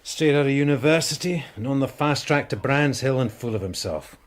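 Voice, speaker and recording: A man acting a gruff, sarcastic older police sergeant with an English accent in a radio drama. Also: mid-range voice, animated, brisk, lightly acted. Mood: wry, grumbling. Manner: blunt, exasperated, sarcastic.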